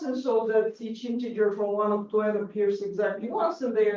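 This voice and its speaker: A woman's voice: fairly high pitch, a little mellow, a little hiss or hum. Distant, echoey woman lecturing. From Bulgaria. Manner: halting, many restarts and fillers. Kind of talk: math conference lecture. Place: lecture hall. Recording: echoey hall, distant lo-fi mic.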